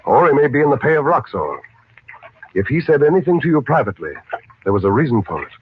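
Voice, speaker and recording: A man playing a steady, protective uncle in an old-time radio drama, with an authoritative, deliberate manner. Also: medium pitch, breathy edge. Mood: thoughtful, probing. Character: steady, protective uncle leading a desert search. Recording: tinny, noisy old radio recording.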